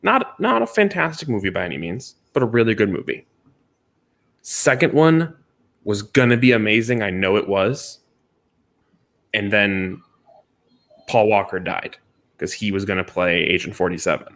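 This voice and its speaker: A man with a fairly low voice talks casually as a podcast co-host. He is blunt and animated in how he puts things. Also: moderate pace, long pauses. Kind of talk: casual chat.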